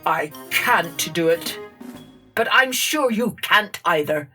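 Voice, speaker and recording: A woman's voice: fairly low pitch, lively intonation, slow pace, faint music behind, exaggerated voice. A woman voicing a boastful, teasing male wind spirit with a cocky, blustering manner in a children's storybook. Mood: worn out. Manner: cocky, taunting, loud laughing bluster.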